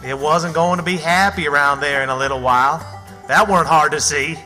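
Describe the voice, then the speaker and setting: A man's voice: fairly high pitch, steady tone, brisk, sharp tone. An actor playing a plucky runaway country boy with an American Southern accent, folksy and colloquial, in a radio play. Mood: wry storytelling. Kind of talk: old-time radio theatre, first-person storytelling. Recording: reverberant sound with faint music.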